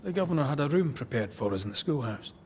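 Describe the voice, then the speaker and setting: An actor playing a kindly, reassuring ghost hunter of colonial times in a video game. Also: male voice, medium pitch, lively intonation, quick pace, a little mellow, a little hiss or hum, lightly acted. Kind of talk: dramatic video game acting.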